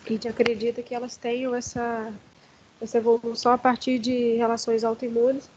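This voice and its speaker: A hoarse woman with a Brazilian accent, giving an online lecture with many fillers and restarts. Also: on the high side, monotone, normal speed, darkish tone, lo-fi sound, a little hiss or hum. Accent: Brazilian Portuguese. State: hoarse from allergy.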